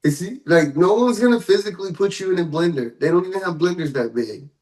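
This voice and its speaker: Male podcast co-host, fairly high-pitched, loose slangy delivery, casual banter with exaggerated asides. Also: expressive, fast, darkish tone. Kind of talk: casual banter about hip-hop and pop culture news.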